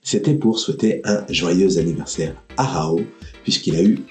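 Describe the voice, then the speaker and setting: A man's voice: deep voice, a little mellow, faint music behind. Man hosting a casual show, echoey room. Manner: informal and joking, with loose, halting phrasing. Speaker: host of an online anime and geek talk show. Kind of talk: casual live-stream chat. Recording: roomy, echoing sound.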